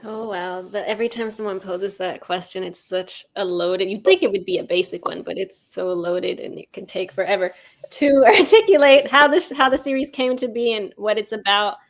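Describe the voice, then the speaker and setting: A woman's voice: mid-range voice, moderate pace. A woman speaking as an interview guest, reflective and articulate, explaining herself in long, considered thoughts. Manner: articulate, considered, elaborates at length. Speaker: writer-director interviewed as guest.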